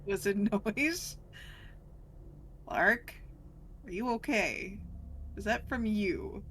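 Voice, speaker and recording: A woman's voice: medium pitch, expressive, extremely slow, fairly bright tone, slight background noise. A woman chatting casually as a player in a tabletop roleplaying game.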